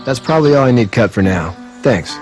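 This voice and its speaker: A man's voice: mid-range voice, expressive, a little fast, a little mellow, faint music behind, natural voice. An actor playing an earnest, uneasy young farm man in a video game, plainspoken and friendly. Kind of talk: naturalistic video game acting.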